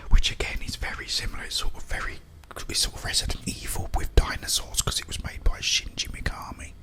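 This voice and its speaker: A British man whispering an informal, rambling ASMR monologue, playfully repeating words as he goes. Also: brisk. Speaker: ASMR content creator.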